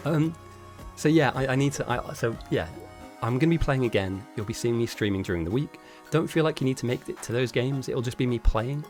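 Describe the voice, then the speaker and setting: Hoarse male caster, casual and rambling, answering chat, faint music in the background. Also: mid-range voice, animated, moderate pace, a little mellow. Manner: chatty and rambling, with frequent restarts. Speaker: esports caster and streamer. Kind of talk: casual live-stream commentary, answering chat.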